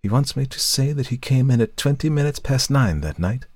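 A man voicing a calm, cold foreign woman in an audiobook, quiet and deliberate, with hatred smoldering underneath. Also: medium pitch, no echo, pitched above the performer's own voice, close to an everyday voice. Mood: cold, controlled. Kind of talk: audiobook character voice.

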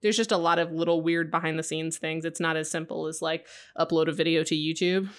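A woman co-hosting a podcast in casual chat, quick and joking, with a deeper voice. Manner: quick, joking, animated with laughs. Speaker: podcast co-host.

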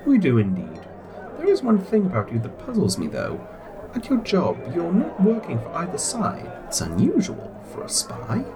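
Suave, wildly expressive recruiter. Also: male voice, high-pitched, normal speed, over music. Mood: delighted, intrigued. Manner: courteous, theatrical, formal phrasing. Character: suave, polite, scheming man recruiting spies. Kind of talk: audio drama acting.

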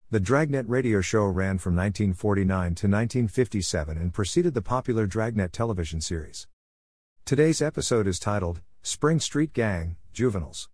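A man's voice: on the low side, a little mellow, dry recording, clean studio sound. A man reading a scripted podcast introduction and sponsor message as host.

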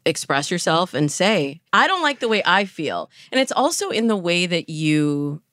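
A woman's voice: lowish voice, big pitch swings, normal speed, fairly bright tone, dry recording, studio quality. A woman speaking as a dating coach and interview guest, explaining her advice conversationally with illustrative examples.

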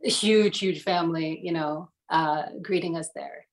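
A woman from New Jersey, a guest in a casual interview, talking in a relaxed, reflective way with many fillers. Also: deep voice, unhurried.

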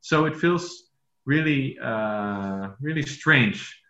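A man from the Netherlands whose first language is Dutch, speaking English as a podcast guest and talking haltingly, with many fillers and restarts. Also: medium pitch, lively intonation, bright, forceful tone, reverberant, highs cut off. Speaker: author guest. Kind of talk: podcast interview.

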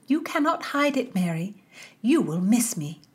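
A woman voicing a spirited young maid of honour with a bright, wry manner in an audiobook. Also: on the high side, big pitch swings, unhurried, slightly rough, voice pushed up, exaggerated voice.

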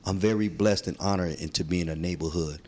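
A man's voice: low voice, fast talker, a little mellow, a little hiss or hum. A man. He talks as an interview guest in a casual, earnest way, using street slang and repeating phrases for emphasis. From San Francisco, United States. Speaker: podcast guest.

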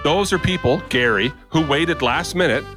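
Man, podcast co-host, casual chat, animated, filler-heavy, mid-range voice, music underneath. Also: on the high side, moderate pace. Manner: animated storyteller, frequent "like" and "you know what I mean".

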